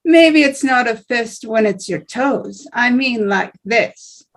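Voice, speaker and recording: A woman's voice: fairly high pitch, animated, takes it slowly, full character voice. A woman reading the part of a chatty male businessman on a plane, in a friendly, confiding advice-giving tone. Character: chatty male businessman passenger, a know-it-all. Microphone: video call.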